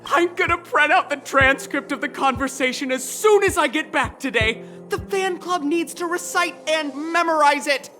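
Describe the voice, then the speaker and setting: Zealous high-voiced fan club girl. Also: normal speed, few pauses, a bit bright, faint music behind. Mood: devoted, eager. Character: zealous young female fan club devotee. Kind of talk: video game voice acting.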